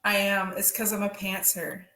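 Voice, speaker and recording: A woman's voice: medium pitch, completely flat delivery, normal speed, sharp tone. A young woman, an author and co-host, talking in an easygoing way, often laughing and saying "like". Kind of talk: casual book chat. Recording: echoey room.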